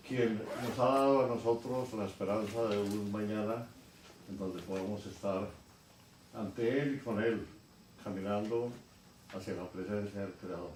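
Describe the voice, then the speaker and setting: A man leading a church service, welcoming visitors and preaching slowly and earnestly with pauses. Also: on the low side. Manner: slow, earnest, with pauses and fillers. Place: church sanctuary. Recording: echoey church, distant mic, noisy.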